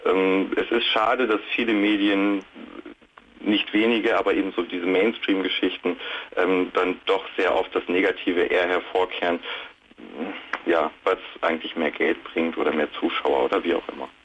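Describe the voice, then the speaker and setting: A man in his late thirties speaks on a radio phone-in in a fairly low voice. He is reflective and conciliatory. The phone sound is dull, with faint noise behind it. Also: level tone, moderate pace, a bit bright. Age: late 30s. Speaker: radio show caller. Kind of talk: casual reflective phone-in talk.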